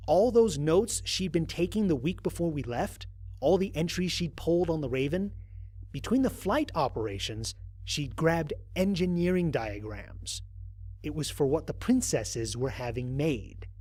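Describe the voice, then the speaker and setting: A man's voice: a little high, a little mellow, husky, dry recording. A man playing a human space explorer recording a mission log in an audio drama, speaking conversationally with wry, dry amusement. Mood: amused, amazed. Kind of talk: first-person audio drama narration as a log entry.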